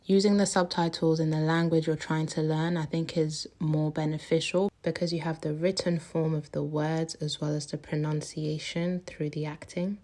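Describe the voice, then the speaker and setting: Calm, mellow woman's voice-over. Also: low voice, normal speed, few pauses.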